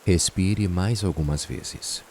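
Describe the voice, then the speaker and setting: A man with a Brazilian accent narrates a guided meditation in a soothing, unhurried way, leaving long pauses. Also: sits low. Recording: steady rain and hiss behind voice. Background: rain sounds.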